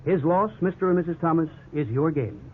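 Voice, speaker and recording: An actor playing a pushy, falsely sincere real estate salesman in a 1950s radio drama, with smooth patter and feigned hesitation. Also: fairly high pitch, brisk, natural voice. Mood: oily, persuasive. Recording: muffled, noisy 1950s radio recording.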